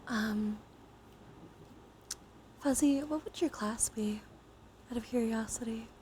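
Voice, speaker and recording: A woman live streamer speaking softly, often in a whisper, in a gentle ASMR style mixed with casual chat. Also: a little high, takes it slowly. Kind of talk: ASMR, soft chatty livestream talk. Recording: clear steady background noise.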